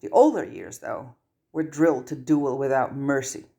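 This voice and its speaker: A storyteller reading fiction aloud in a mid-range voice. The delivery is scripted and expressive, in the manner of an audiobook narrator. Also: mid-range voice, normal speed. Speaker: fan fiction audiobook reader.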